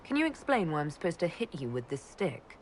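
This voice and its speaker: An expressive, composed heroine. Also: female voice, deep voice, fairly quick, slight background noise. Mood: encouraging. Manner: articulate, questioning, reasonable. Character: composed female leader mage, diplomatic, steady. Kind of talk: video game voice acting.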